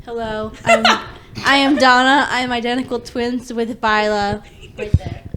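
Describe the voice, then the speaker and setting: A woman in her twenties is a guest on a casual podcast, speaking quietly in short remarks from away from the microphone. Her voice is somewhat high, and a clear hiss sits under it. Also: fairly even intonation, unhurried. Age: 20s. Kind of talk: casual chat. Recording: off-mic with noticeable hiss.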